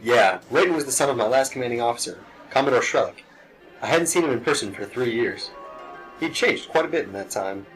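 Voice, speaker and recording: A man's voice: medium pitch, expressive, brisk, a bit bright, soft background music, natural voice, low-quality recording. A man playing a troubled, reticent starship captain in a sci-fi audio drama. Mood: evasive, subdued.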